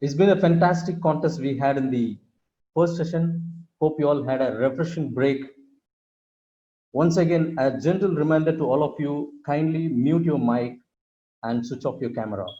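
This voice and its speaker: Slightly muffled video call audio carries a man's mellow voice, a little higher than average. He speaks formally as an event host, making polite announcements and introductions in a measured way. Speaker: host introducing next session. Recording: dull, band-limited video call audio.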